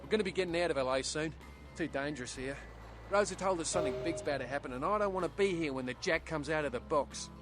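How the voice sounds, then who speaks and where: Earnest, higher-pitched young surfer guy played by a man. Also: fast, over music, close to an everyday voice. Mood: grateful, wary. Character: young male surfer vampire, earnest, laid-back, grateful. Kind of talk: video game acting.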